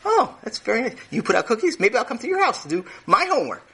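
A man's voice: very high-pitched, wildly swooping pitch, quick pace, gravelly, a bit bright, slightly rough, full character voice. A man voicing an eager, chatty male teacher who rambles effusively and lectures. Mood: lecturing. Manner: effusive, rambling, talks over others.

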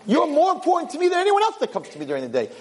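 A man voicing an excitable, emotional king in a told story, exclamatory and emphatic. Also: on the high side, quick pace, vocal fry. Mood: grateful, emphatic.

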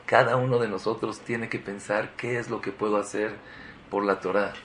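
A man's voice: lowish voice, fairly even intonation, normal speed, fairly bright tone. A man giving a Torah sermon, emphatic and repetitive, full of rhetorical questions. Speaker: rabbi giving a Torah talk.

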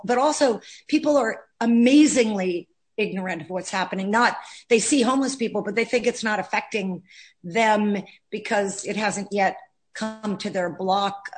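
An actress guest in a casual interview, talking animatedly in bursts with restarts. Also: female voice, medium pitch.

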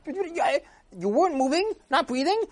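A storyteller voicing a frantic man who is shocked that the friend he buried is alive. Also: fairly quick. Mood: alarmed. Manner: shrill and excited.

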